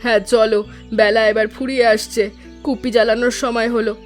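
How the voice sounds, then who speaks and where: A woman voicing a compassionate old village wife in a cartoon story, homely and gently chiding. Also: fairly high pitch, fairly even intonation, a little fast, natural voice. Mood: fond, content. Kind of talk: Bengali cartoon story voice acting. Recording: music behind the voice. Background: music.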